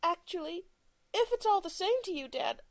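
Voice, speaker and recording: A man's voice: extremely high pitch, expressive, normal speed, voice pushed up. A man voicing a young woman, the long-lost daughter of an alien traveler, speaking through emotion. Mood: teasing. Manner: emotional, choked-up.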